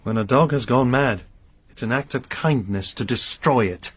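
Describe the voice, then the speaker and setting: Quiet, formal lodger in a radio drama, soft and grave, mid-pitched voice. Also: male voice, moderate pace, slightly rough, no echo. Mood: solemn. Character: mysterious genteel male lodger, quiet and grave.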